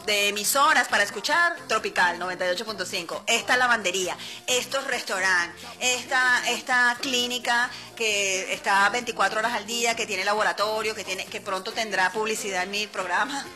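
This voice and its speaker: A woman's voice: medium pitch, moderate pace, sharp tone, soft background music. A woman with a Venezuelan accent hosting a radio show, chatting casually and playfully, laughing often as she talks to her listeners. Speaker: radio host. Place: radio studio.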